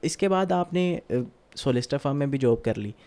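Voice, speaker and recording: Man, podcast host, warm dark tone, measured and curious. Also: mid-range voice, expressive. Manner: measured, questioning, frequent hums of agreement. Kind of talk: podcast interview.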